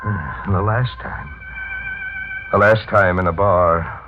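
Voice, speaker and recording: An actor playing a tormented amnesiac drifter in a 1940s radio drama, with a brooding, intense delivery. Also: male voice, low-pitched, slow pace. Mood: haunted, brooding. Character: tormented amnesiac drifter, a man haunted by a name. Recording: dull 1940s radio recording, music behind.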